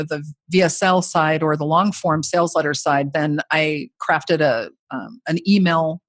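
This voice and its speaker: A woman's voice: deep voice, fairly bright tone, no echo. A woman in her forties, a course creator guest, talking casually and a bit self-deprecatingly, with a voice people call made for radio. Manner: chatty, self-deprecating, frequent "you know". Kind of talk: casual podcast interview.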